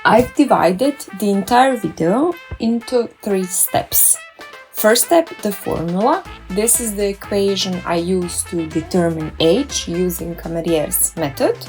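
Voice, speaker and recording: A woman's voice: mid-range voice, expressive, unhurried, a little mellow, soft background music. A woman who works as a dentist, narrating a scripted step-by-step tutorial in an upbeat, careful way. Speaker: dentist and doctoral researcher.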